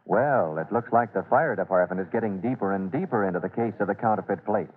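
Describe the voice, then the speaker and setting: Low-voiced vintage radio serial narrator, male. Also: fast talker, slight background noise. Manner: dramatic, formal announcer delivery. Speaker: narrator and announcer. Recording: tinny, band-limited old radio recording.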